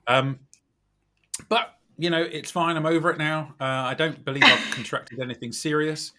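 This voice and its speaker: Slightly croaky British man, wry storyteller. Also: fairly high pitch, moderate pace. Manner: self-deprecating, wry, animated storytelling. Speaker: podcast guest, recruitment company director. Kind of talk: casual chat.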